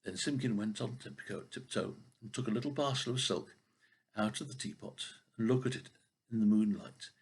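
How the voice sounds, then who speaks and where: An older man reading a children's story expressively, with a husky voice and the odd stumble over a word. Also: fairly low pitch, moderate pace. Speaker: storybook reader.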